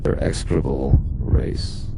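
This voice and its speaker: A man's voice: slow pace, noisy background, natural voice. A man playing a menacing, bloodthirsty oath-taker in a grim, solemn recitation.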